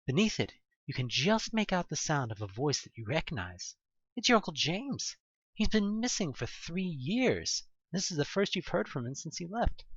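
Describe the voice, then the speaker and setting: Expressive male story narrator, slightly muffled. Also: medium pitch, no echo. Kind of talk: dramatic second-person scripted story narration.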